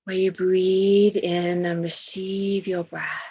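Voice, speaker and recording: A woman leading a guided meditation in a soft, breathy voice, soothing and hushed, with long pauses between phrases. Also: lowish voice, little pitch movement, highs cut off.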